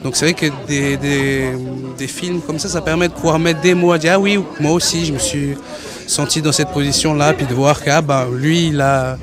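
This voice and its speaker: A man's voice: on the high side, steady tone, normal speed, over music. A man, a guest at a public event, thoughtfully sharing his own experience with many fillers and restarts. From Switzerland. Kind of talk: casual personal testimony.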